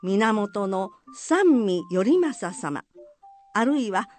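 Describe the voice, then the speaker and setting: Slow, expressive female storyteller. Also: medium pitch, soft background music. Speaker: narrator. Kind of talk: scripted storytelling narration for radio drama.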